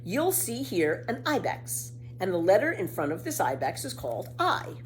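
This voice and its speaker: A woman voicing a brisk, easily impatient female schoolteacher in a children's storybook, speaking in an instructive way. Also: mid-range voice, big pitch swings, slight background noise, natural voice. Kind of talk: children's storybook read aloud.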